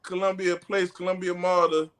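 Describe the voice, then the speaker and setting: A rapper from South Carolina, talking in a casual, slangy way as a guest on a remote call. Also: male voice, high-pitched, flat delivery, moderate pace, over a noisy crowd. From South Carolina, USA. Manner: laid-back slangy storytelling. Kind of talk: casual interview chat.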